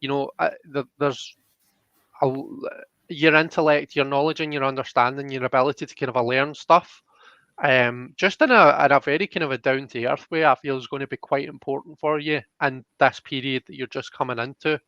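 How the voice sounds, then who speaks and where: A man speaks as the host of a live tarot reading, casual and conversational. His voice sits at an ordinary pitch, and he often checks in with whoever is listening. The sound is dry and a little muffled. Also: steady tone, moderate pace, bright, forceful tone, no echo.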